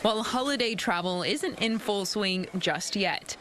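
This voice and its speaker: A woman's voice: medium pitch, measured pace. A woman TV reporter delivering a scripted live news report. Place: live on location at an airport. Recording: clear background noise, on location.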